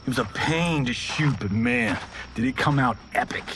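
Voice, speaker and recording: An actor playing a brash, upbeat movie-star fighter in a video game, with an American accent and breezy, slangy delivery. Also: male voice, medium pitch, moderate pace, noisy background, natural voice. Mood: excited, boastful. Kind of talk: video game cinematic acting.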